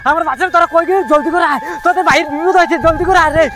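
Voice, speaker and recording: A man's voice: very high voice, steady tone, racing, a loud crowd. A man playing an anxious village woodcutter and father in an amateur horror drama, speaking in repetitive exclamations. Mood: alarmed.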